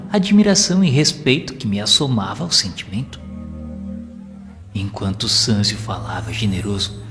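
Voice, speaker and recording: An actor playing a reverent spirit pupil who narrates an audio novel in earnest, literary, measured phrases with a Brazilian accent. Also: male voice, medium pitch, close to an everyday voice. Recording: long hall reverb, background music.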